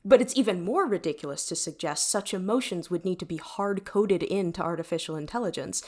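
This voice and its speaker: A woman's voice: on the low side, a little fast, darkish tone, lightly acted. A woman playing a vain, witty and easily flustered starship computer in an audio drama, talking in a wordy, technical way. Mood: indignant, defensive.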